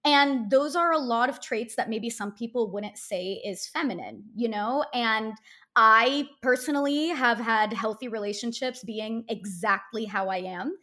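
A young woman, an actress, speaking as a guest in a casual interview, loud, outspoken and joking. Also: a little high, measured pace.

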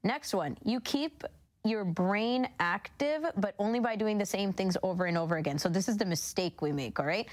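A woman's voice: mid-range voice, moderate pace. A woman co-hosting a radio show, explaining things in a casual, conversational way. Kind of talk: casual explainer of a lifestyle article.

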